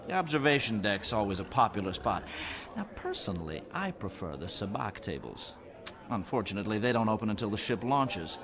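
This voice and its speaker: An actor playing a wry, worldly, roguish businessman and gambler in an audio drama, smooth-talking and unhurried. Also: lowish voice, very animated. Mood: suave, amused. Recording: crowd murmur behind the voice.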